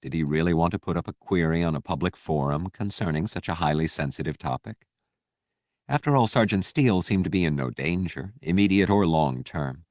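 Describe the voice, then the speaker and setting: A man reading a fiction audiobook as narrator, with measured, dramatic storytelling delivery. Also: sits low, a little mellow, dry recording.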